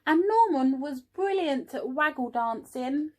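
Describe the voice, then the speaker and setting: A woman's voice: high voice, lively intonation, unhurried, darkish tone. A woman, an early years teaching assistant at home, encouraging young children and asking them questions as she reads a story.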